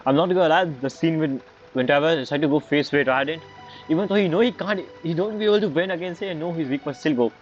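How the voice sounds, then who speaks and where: Guy streaming a game, informal stop-start talk, bit dull mic, music in back. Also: fairly high pitch. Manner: halting, informal. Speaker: gaming streamer. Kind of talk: casual gameplay commentary.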